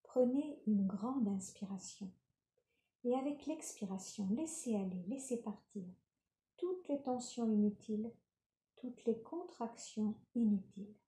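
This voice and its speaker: Slow, very mellow woman guiding relaxation. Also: fairly high pitch. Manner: calm, unhurried, gentle instructing. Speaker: yoga nidra relaxation guide. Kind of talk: guided meditation, soothing instruction. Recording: roomy, reverberant sound.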